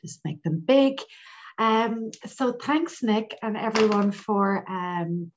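A woman's voice: mid-range voice, slow. A woman with an Irish accent giving an informal academic presentation over a video call, hesitating often. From Ireland. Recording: dull lo-fi online call audio.

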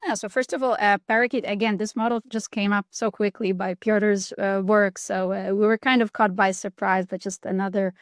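A woman's voice: mid-range voice, steady tone, normal speed, hardly any pauses, no echo. A woman in her thirties answers questions in an upbeat, conversational way, with loose phrasing. Her mid-range voice sounds dry and close through a laptop mic on a video call. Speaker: product manager. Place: indoors at home, virtual background. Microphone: webcam or laptop mic on a video call.

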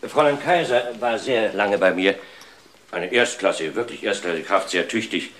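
An actor playing a courteous, formal office boss who speaks emphatically and repeats himself, in a crime radio play. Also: male voice, sits low, normal speed, fairly bright tone, a little hiss or hum. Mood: formal, regretful. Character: courteous male office boss praising a former secretary.

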